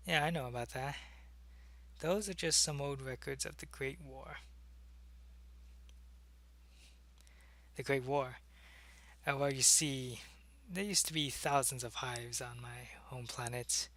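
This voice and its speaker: A man acts out a loyal alien changeling spy in an intimate audio roleplay, with a gravelly edge and a bright, forceful tone. The delivery is conversational and halting, like someone confiding in one listener. The sound is very dry. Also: mid-range voice, no echo. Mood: explaining, casual. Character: alien changeling spy posing as human, loyal, secretive, soldierly. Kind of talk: ASMR roleplay addressed to the listener.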